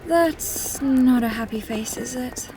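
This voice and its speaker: An actress playing a wry, wary young adventurer in an audio fantasy drama, with a hesitant, questioning manner. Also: female voice, high-pitched, takes it slowly, dark tone, soft background music, low-quality recording.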